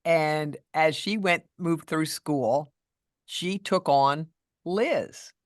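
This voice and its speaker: A middle-aged woman voice coach with a deeper voice than many women, speaking in a measured, thoughtful way as a guest in a casual interview. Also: dry recording, clean studio sound. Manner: measured, thoughtful, with restarts and fillers.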